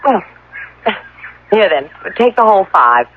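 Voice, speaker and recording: A woman's voice: medium pitch, very animated, measured pace. An actress playing a polite, anxious young woman stranded at a resort, in a radio drama. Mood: flustered. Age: young adult. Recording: muffled old radio recording, faint noise.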